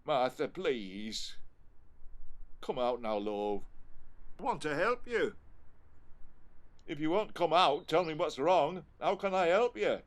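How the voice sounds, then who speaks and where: Slow-talking, homely husband. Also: male voice, fairly high pitch, sing-song. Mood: anxious coaxing. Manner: colloquial, homely, pleading. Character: working-class husband, chummy, exasperated, anxiously coaxing. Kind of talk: audio play acting.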